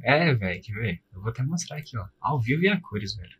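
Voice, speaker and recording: A man's voice: mid-range voice, very animated, quick pace, slightly rough, dull audio. A man with a Brazilian accent hosting a podcast, chatting casually in informal, slangy speech. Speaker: podcast host.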